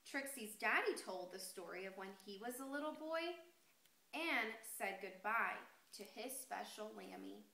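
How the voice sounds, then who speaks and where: A woman teacher reading a picture book aloud to young children in an expressive, deliberate storytelling way, pausing to address the class. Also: on the high side. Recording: echoey room with noticeable background noise.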